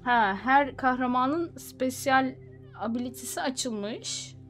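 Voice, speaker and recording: A woman's voice: high-pitched, slow pace. A woman streaming gameplay with casual, playful commentary, exclaiming and mixing English words into her speech. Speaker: game streamer. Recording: loud game music behind voice. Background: game music and effects.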